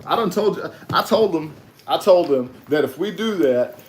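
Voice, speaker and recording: A man's voice: a little high, animated, normal speed, reverberant, a little hiss or hum. A man co-hosting a podcast in casual chat, laughing often and stumbling over restarts. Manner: laughs a lot, stammering restarts. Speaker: podcast co-host.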